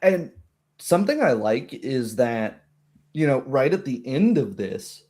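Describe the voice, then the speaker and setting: Guy just chatting about running tabletop games, game master type, animated, does little imagined reactions as he goes. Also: mid-range voice, a little slow.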